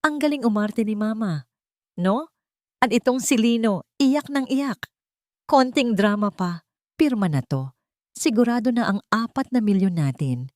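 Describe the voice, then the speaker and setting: A woman voicing a greedy, contemptuous daughter-in-law in a dramatic story, sharp and emphatic. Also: fairly high pitch, animated, a little slow, long pauses, no room sound, clean studio sound, pitched above the performer's own voice. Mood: gloating.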